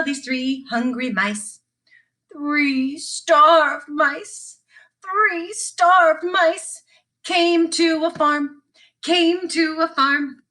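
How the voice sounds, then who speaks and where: A woman teacher giving a sing-song read-along of a children's story, with deliberate, repeated phrasing. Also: very high voice, sharp tone. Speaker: teacher reading aloud.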